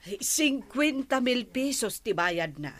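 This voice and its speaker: A woman's voice: fairly high pitch, sing-song, takes it slowly, full character voice. A woman with an Ilocano accent playing a chatty village woman who haggles and gossips in a radio comedy drama. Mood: haggling. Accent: Ilocano, Philippines.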